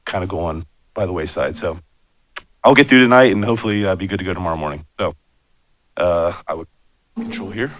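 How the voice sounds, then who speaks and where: A man with a raspy voice, hoarse from a cold, presenting a plan to a city commission in a loose, conversational way with lots of fillers. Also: deep voice, a little fast, pauses often, dry recording. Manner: conversational, many fillers and asides. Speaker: bicycle and pedestrian planner presenting. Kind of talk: public meeting presentation.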